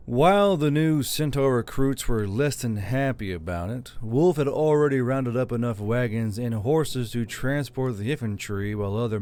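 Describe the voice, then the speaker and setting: A man's voice: mid-range voice, a little mellow, smooth. A man reading a scripted fantasy audiobook as its narrator. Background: background music.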